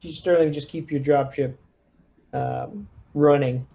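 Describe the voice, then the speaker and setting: A man with a dark, relaxed tone talks very slowly as he plays a tabletop game. He gives orders as the lieutenant, casually and with hesitation, working them out as he speaks. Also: a little high, creaky. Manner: hesitant, deliberating. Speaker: player acting as squad lieutenant in a tabletop game.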